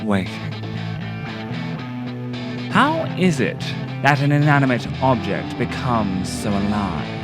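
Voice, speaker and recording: A man reading scripted narration for a video essay in a theatrical, deliberate, literary manner. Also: medium pitch, sing-song, over loud music. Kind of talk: scripted narration, dramatic horror-tinged monologue and video essay.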